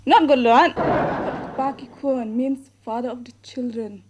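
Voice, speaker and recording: A woman with a high, bright voice, speaking briskly and helpfully from behind an office desk. She plays the clerk in a sitcom scene. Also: highs cut off, background noise. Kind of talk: comedy series acting.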